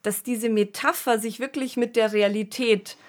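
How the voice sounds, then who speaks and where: A woman's voice: fairly high pitch, fairly even intonation, moderate pace. A woman co-hosting a podcast, explaining things in an earnest, conversational way. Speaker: podcast co-host, expert commentator. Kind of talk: conversational discussion.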